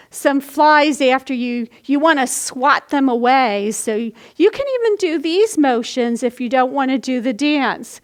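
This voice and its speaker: A woman hosting a children's storytime, explaining and demonstrating simple actions step by step for young listeners. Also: sits high. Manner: slow, explanatory, demonstrating actions as she speaks. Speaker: children's library storytime host.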